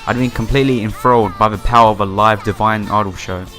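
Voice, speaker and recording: Low-voiced man reading aloud over music. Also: fairly even intonation, quick pace, a bit bright. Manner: stumbles over words while reading, repeats them. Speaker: let's player. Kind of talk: reading a visual novel aloud with casual commentary. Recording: background music behind the voice. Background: game music.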